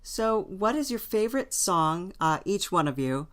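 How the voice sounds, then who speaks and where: An interviewer with a middle-pitched voice speaks informally. The delivery is that of someone putting questions to guests in a relaxed conversation. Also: measured pace.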